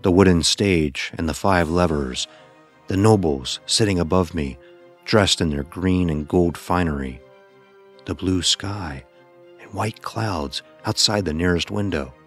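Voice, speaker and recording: Casting: male actor as anguished youth narrating dark fantasy horror, extremely low voice, grave and deliberate, music underneath. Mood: shaken, overwhelmed. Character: anguished blind youth recounting a deadly ordeal. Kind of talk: scripted first-person horror narration.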